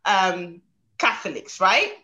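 A woman's voice: mid-range voice, sing-song, slow, sharp tone, highs cut off. A young adult woman hosting an interview show over a video call, emphatic and forever tagging her lines with "okay?". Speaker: show host. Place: home room, indoors.

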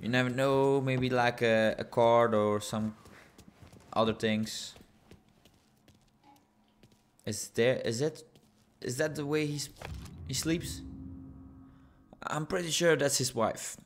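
A young man chats through a game in a playful, joking way. His voice sits at a middle pitch. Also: slow pace, long pauses, a little hiss or hum. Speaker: gaming youtuber. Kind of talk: casual let's-play commentary.

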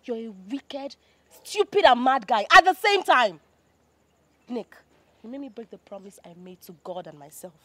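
Woman as furious deceived lover; accusing, animated, dry close sound. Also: fairly high pitch, normal speed, no echo. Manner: scolding, accusing. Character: young woman betrayed by a married man, furious.

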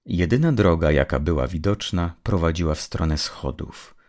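A man reading scripted narration of a dark story as an audiobook narrator. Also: deep voice, animated, normal speed.

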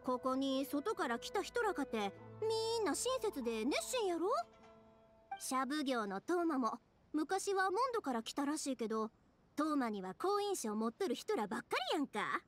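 A cheery young Kansai woman in an anime game, high and very animated. She is a warm-hearted, bubbly craftswoman, with music underneath. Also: normal speed. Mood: reassuring, exasperated. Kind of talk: Japanese anime game voice acting.

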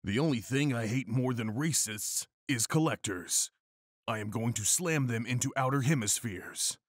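A man's voice: mid-range voice, expressive, moderate pace, no echo, clean studio sound, lightly acted. A man voicing a macho, righteous cat hero in a comic story, pompous and declamatory. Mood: boastful. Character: macho, bombastic, lasagna-obsessed male cat hero, righteous and flirty.

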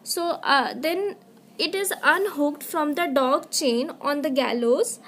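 A woman teaching an online class over slides, explaining step by step with halting restarts. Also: sits high, a bit bright, a little hiss or hum. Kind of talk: slide-based lecture.

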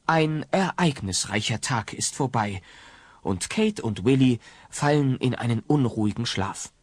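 An actor narrating a comic family audio play as a scripted, dramatic storyteller. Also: male voice, mid-range voice, animated, a little slow, faint music behind, lightly acted. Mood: wry storytelling.

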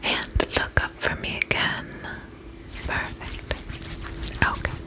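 A woman whispering in an ASMR role-play as a spa attendant, gentle, soothing and reassuring, speaking in short phrases with pauses. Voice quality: unvoiced whisper.

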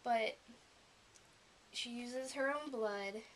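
A young woman with a regional American accent, gushing softly and emotionally as she unboxes a gift in a small room at home, filmed on a webcam. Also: a little high, drawn-out words, slight background noise. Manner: gushing, emotional, soft-spoken and rambling. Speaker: vlogger. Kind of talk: casual unboxing vlog.

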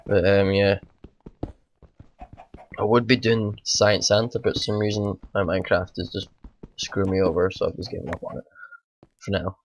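A man, hoarse from a bad cold, rambling through casual let's play commentary at home. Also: sits low, little pitch movement, normal speed, pauses often, slight background noise, rough recording. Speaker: gaming channel host.

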